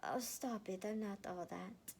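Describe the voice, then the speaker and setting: A woman's voice: mid-range voice, a little slow, vocal fry, a little mellow, a little hiss or hum, pitched above the performer's own voice. A woman voicing a flustered, bashful young dragon shapeshifter woman, sheepish and whiny, in a fan fiction reading.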